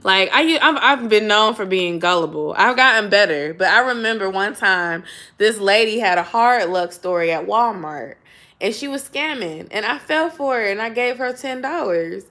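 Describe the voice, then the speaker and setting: A woman's voice: medium pitch, expressive, normal speed, bright, forceful tone. A woman from Georgia with a Southern accent, chatting casually with viewers on a livestream and greeting them with y'all. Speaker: YouTube host.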